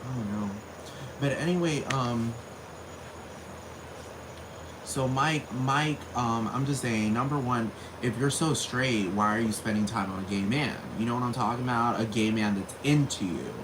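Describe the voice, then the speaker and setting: A man's voice: mid-range voice, moderate pace, background noise. A man with a Puerto Rican accent ranting casually in a rambling, profane way, full of fillers. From Puerto Rico. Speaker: vlogger. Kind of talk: casual rant. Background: crickets and insects.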